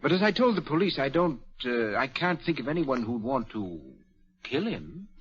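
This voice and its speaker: An actor playing a scheming male valet in an old-time radio drama, measured and emphatic in his speech. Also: mid-range voice, big pitch swings, a bit bright, husky, lo-fi sound. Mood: polite, guarded. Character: polite but scheming male valet, secretly bossy crook.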